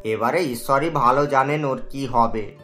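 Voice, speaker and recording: A man's voice: medium pitch, measured pace, a bit bright, faint music behind, lightly acted. A man voicing a sly, mocking villager in a cartoon, with a taunting manner. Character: mocking, sly male villager who cheats neighbours.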